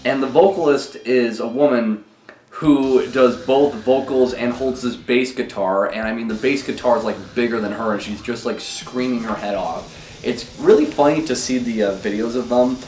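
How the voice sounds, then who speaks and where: A young man with a sore throat talking casually and ramblingly about records he collects, recorded on a low-quality camera mic. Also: normal speed, darkish tone. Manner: laid-back, rambling, swears casually. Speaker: vinyl collection vlogger. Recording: roomy, distant camera mic, faint noise.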